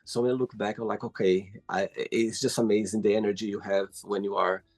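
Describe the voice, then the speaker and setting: A man from Brazil, a native Portuguese speaker, hosting a casual interview in English with an effusive, animated manner. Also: fairly low pitch, brisk, gravelly. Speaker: host. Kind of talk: casual video call interview.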